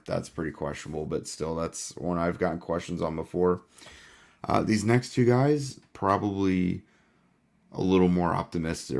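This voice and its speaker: A man hosting a casual sports podcast, hoarse and losing his voice, with a laid-back delivery full of "uh" fillers. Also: deep voice. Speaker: podcast host.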